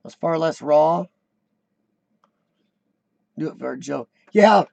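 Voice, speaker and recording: A man in his forties hosting a casual live chat, with a high-pitched squeaky voice worn by coughing, who often clears his throat and restarts his sentences. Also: slow pace, darkish tone, no echo. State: voice worn from lung illness and coughing. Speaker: host of a live chat show. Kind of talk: casual live-stream chat reading viewer comments.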